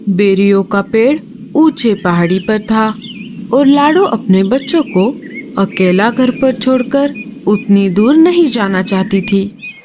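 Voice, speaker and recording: A woman's voice: mid-range voice, a little mellow, slight background noise. A woman giving scripted narration for a children's story.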